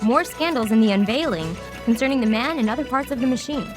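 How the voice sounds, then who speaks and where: Woman playing higher-voiced dramatic parody news anchor. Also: expressive, fast, over music, natural voice. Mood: ominous. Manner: emphatic, declamatory, mock-serious. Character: dramatic female news anchor announcing scandal and doom. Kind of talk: parody news reading in audio play.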